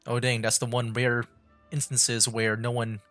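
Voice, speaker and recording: A man doing casual livestream game commentary, reading aloud in a halting way. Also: medium pitch, monotone, fairly bright tone. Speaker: gaming streamer. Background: game music.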